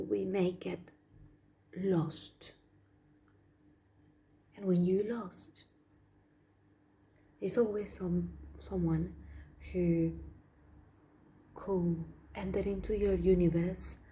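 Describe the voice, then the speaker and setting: A woman from Argentina with an Argentine Spanish accent, a Spanish native speaker giving a spiritual message to viewers, speaking softly and dropping into whispers on some words. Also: fairly low pitch, fairly even intonation. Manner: slow, soft, drops to whispers on some words. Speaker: spiritual channel.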